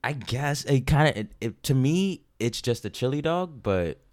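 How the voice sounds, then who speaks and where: An American man co-hosting a podcast, chatting casually and jokily as he reads out information in a halting way. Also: medium pitch, lively intonation, a little mellow. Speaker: podcast co-host. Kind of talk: casual comedic chat, reading out information.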